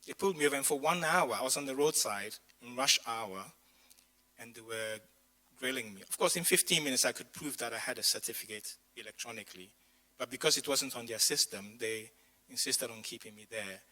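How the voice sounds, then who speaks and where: A man's voice: mid-range voice, brisk, pushed and bright, poor audio. A male pastor giving a chatty sermon to his congregation, his voice strained after losing it, with asides to the people in the room. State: voice strained, says he lost his voice. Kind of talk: sermon with personal stories. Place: church community hall.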